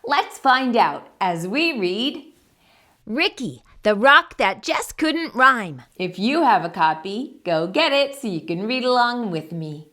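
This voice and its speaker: A woman hosting storytime, narrating a children's picture book in an animated read-aloud style. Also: on the high side, takes it slowly. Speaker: storytime host and reader.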